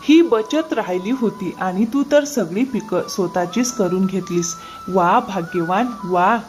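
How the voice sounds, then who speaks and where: A woman's voice: mid-range voice, a little slow, darkish tone, slight background noise, close to an everyday voice. Woman voicing a greedy, deceitful cartoon farmer man. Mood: delighted flattery. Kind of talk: moral-story cartoon dubbing.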